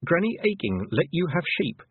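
A man voicing an earnest, sharp-witted young girl witch, in a raised, eager voice. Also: fairly high pitch, sing-song, moderate pace, slightly rough, no echo, voice pushed up, exaggerated voice. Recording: tinny, phone-like lo-fi audio.

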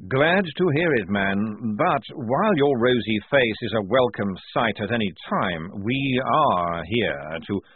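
A man plays an unflappable senior general who speaks slowly and calmly. The voice is low and husky. The sound is dry and tinny, like a phone line. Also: no echo, full character voice. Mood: measured. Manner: slow, measured, calm. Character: calm, unflappable senior male army general.

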